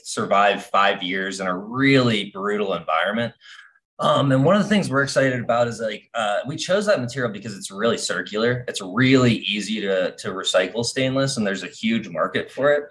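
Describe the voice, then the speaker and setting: Young male founder, upbeat, slightly low voice, casual filler-heavy speech. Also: a bit bright. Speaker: startup founder. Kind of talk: pitch and Q&A. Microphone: webcam on video call.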